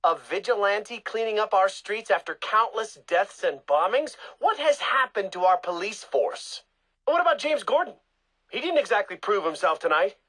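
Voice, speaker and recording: Indignant tv political commentator, highish voice, very animated, arguing in a news debate. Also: male voice, normal speed, heavily acted. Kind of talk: heated broadcast debate.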